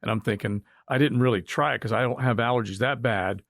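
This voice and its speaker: A man's voice: mid-range voice, fairly quick, gravelly, fairly bright tone, no room sound. Male sports radio host, mid-range voice, casual and joking, close dry sound. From southern United States. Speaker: sports talk show host.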